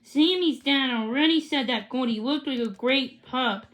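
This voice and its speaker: A very high-voiced female reads a children's book aloud at a slow pace. Her delivery is halting, with words repeated and corrected, yet she gives the dialogue expression. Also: fairly bright tone. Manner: halting, stumbles and rereads words. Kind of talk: read-aloud storytelling.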